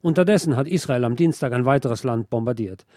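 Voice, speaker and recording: A man presenting scripted political news commentary in a measured, formal delivery. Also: mid-range voice, mellow, dry recording, clean studio sound.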